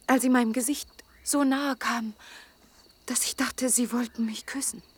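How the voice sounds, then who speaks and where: Breathy, pleading young woman. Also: on the high side, fairly even intonation, normal speed. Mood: pleading, agitated. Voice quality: breathy, often dropping toward a whisper. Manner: urgent, imploring, emotionally open. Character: lonely twenty-year-old woman, hurt, pleading yet proud. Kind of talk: intimate German radio drama acting.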